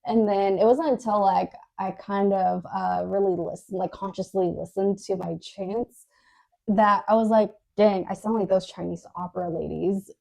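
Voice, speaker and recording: A woman's voice: mid-range voice, steady tone, moderate pace, vocal fry, a little mellow. A woman co-hosting a podcast, telling her story in a casual, hesitant way, with many fillers, restarts and little laughs. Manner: laughs often while talking. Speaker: podcast co-host.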